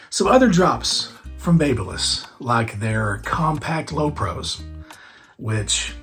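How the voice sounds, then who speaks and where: Hoarse chatty man hosting a podcast. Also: a little high. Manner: rambling, chatty, frequent fillers and restarts. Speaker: barber and podcast host. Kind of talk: casual solo podcast chat.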